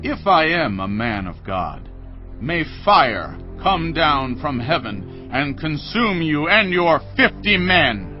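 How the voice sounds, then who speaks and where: An actor playing a stern prophet who delivers solemn, declaiming pronouncements. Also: male voice, on the high side, sing-song, slow. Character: stern aged prophet, commanding man. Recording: muffled audio under music.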